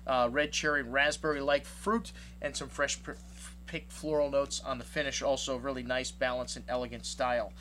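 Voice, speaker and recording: A man's voice: mid-range voice, little pitch movement, normal speed, fairly bright tone, slight background noise. A man who runs a wine shop, talking casually through his tasting notes and rambling, with many fillers. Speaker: wine shop owner reviewing wines.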